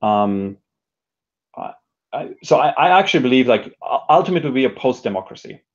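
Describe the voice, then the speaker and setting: A man's voice: mid-range voice, expressive, a little fast, highs cut off. A man giving thoughtful interview answers, reflective and hesitant, often restarting his sentences as he works through an idea. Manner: reflective, hesitant, frequent self-restarts and hedging. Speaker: interview guest, technology educator and speaker.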